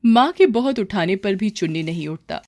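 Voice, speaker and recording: A woman's voice: medium pitch, animated, normal speed, a little mellow, no echo. A woman storyteller giving scripted narration of a story.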